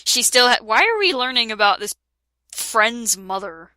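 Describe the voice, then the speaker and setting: A woman's voice: a little high, very animated, moderate pace, pushed and bright, dry recording. A woman reading a parody fan fiction aloud with a friend, giggling and breaking into laughter and sarcastic asides. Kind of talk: comedic dramatic reading with a friend.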